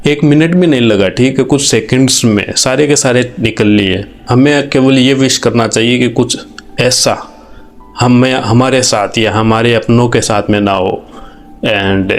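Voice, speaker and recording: A man from India with an Indian accent, hosting an informal, reflective video commentary in an earnest, conversational way, mixing in English phrases. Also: on the low side. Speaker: video host.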